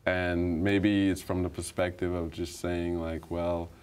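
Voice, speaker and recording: Soft-spoken deep-voiced photographer man. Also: monotone, measured pace, clean tone. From Guyana. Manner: hesitant, many ums, soft-spoken. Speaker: photographer guest. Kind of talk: casual interview talk. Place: TV studio, indoors.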